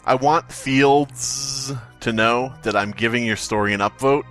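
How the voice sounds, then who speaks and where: A man's voice: medium pitch, measured pace, a bit bright, faint music behind. A man co-hosting a podcast, chatting casually in a sardonic, sweary way. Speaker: podcast co-host. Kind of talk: casual chat.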